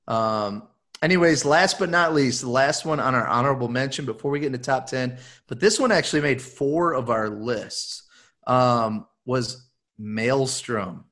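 A man's voice: medium pitch, normal speed, fairly bright tone. A man from Michigan hosting a casual group podcast, upbeat and rambling with lots of fillers. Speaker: podcast host.

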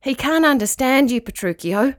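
A woman voicing an earnest, excitable, loyal male pet dog in a children's story. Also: high-pitched, normal speed, no room sound, clean studio sound, pitched above the performer's own voice. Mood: patient.

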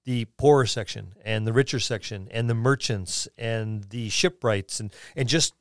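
A man's voice: on the low side, normal speed, dry recording, studio quality. A man co-hosting a podcast, talking casually and conversationally about tabletop roleplaying. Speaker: podcast co-host.

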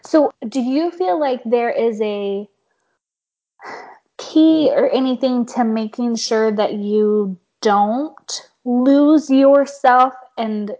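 A young woman hosting a casual conversation, a little hoarse, saying "like" often with an uneven pace. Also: fairly high pitch, slow pace, dark tone. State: slightly hoarse. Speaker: podcast host. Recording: dull lo-fi sound.

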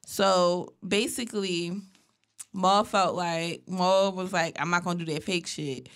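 A woman with a lower voice talks through a long story on a podcast in an even, monotone way. She is blunt and curses casually, chatting with a co-host. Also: measured pace, clean tone. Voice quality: self-described natural monotone. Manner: blunt, profane, recaps at length. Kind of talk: casual chat.

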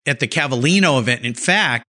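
Upbeat guy hosting an interview, voice all over the place, bright sound. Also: medium pitch, lively intonation, brisk. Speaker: podcast host. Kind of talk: interview host chat.

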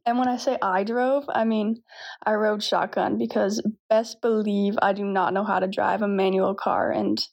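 A woman's voice: mid-range voice, flat delivery, moderate pace, gravelly, a little mellow. A Canadian woman in her twenties, a professional volleyball player, giving an upbeat, self-deprecating update. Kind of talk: scripted update to camera.

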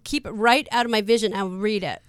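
A woman's voice: mid-range voice, fairly even intonation, fast, over a noisy crowd. A woman of fifty chatting as a guest on a talk show, rambling with many fillers and restarts. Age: 50s. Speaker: guest actress. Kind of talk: casual talk show chat.

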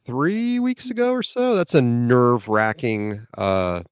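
A man's voice: mid-range voice, expressive, a little slow, clean tone, dry recording, clean studio sound. A man in his forties telling a story on a casual podcast, laid-back and swearing freely. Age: 40s. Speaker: podcast co-host and new EMT.

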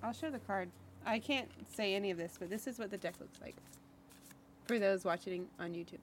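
A young adult woman co-hosting a podcast in casual chat, giggly and quick to agree. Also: medium pitch, slight background noise. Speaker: podcast co-host.

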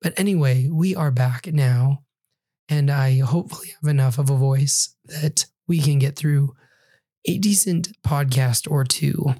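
A man hosting a solo podcast, reviewing a book in an earnest, reflective way while hoarse and recovering from losing his voice, some words breathy and half-whispered. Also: medium pitch, unhurried, warm tone, no room sound, clean studio sound. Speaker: podcast host.

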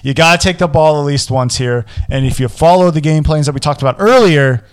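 A young man with a hoarse voice hosting a solo football podcast, giving casual but animated game analysis and often repeating words as he talks. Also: a little high, brisk. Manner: animated, repeats words, pumps up with singsong callouts. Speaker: sports podcast host.

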